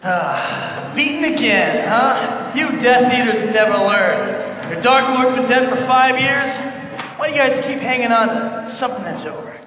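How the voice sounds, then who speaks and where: A man's voice: extremely high pitch, lively intonation, unhurried. A man playing a cocky teenage boy wizard hero in a comedy staged reading, smug and quipping yet earnest. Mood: triumphant. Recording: noisy, very echoey hall.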